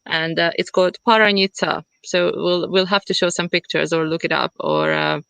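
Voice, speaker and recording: A woman from Hungary with a Hungarian accent, chatting as an interview guest in a halting way as she searches for words. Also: lowish voice, expressive, vocal fry, a bit bright, smooth, dry recording. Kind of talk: casual conversational interview. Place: at home in the countryside.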